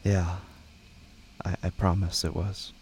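A man's voice: sits low, level tone, takes it slowly, a little mellow, slight background noise. An actor playing a withdrawn, shaken young man in an audio drama, speaking in a hesitant, subdued way. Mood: insistent.

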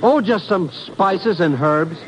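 High-pitched, blustering little radio-drama crook. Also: male voice, slow, background noise. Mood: evasive. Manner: pompous, evasive, excitable. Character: blustering, shifty little man, small-time crook selling soft drinks. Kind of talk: 1950s radio drama acting. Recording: old radio recording with crowd murmur.

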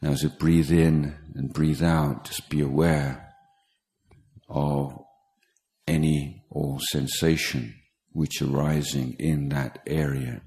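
An extremely low-pitched man guides a meditation. He speaks slowly and carefully, breaking his instructions into short phrases with pauses between them. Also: level tone, darkish tone. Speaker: meditation teacher, Buddhist monk. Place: meditation retreat talk in Thailand.

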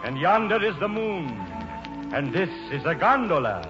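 An actor in a 1940s radio play as a roguish, grandly romantic American actor who declaims poetically. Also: male voice, high voice, very animated, normal speed. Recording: muffled old radio broadcast, music behind.

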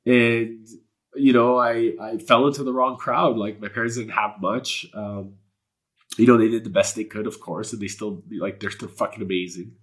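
Low-voiced earnest man. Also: fairly even intonation, moderate pace. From Canada. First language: Polish. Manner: earnest and spiritual, rambling with many fillers and curse words. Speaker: guest. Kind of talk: candid personal interview talk.

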